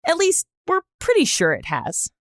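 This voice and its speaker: A woman's voice: very high-pitched, wildly swooping pitch, normal speed, fairly bright tone, no echo, clean studio sound. A woman hosting a podcast, reading scripted narration in a wry, conversational way with asides. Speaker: podcast host.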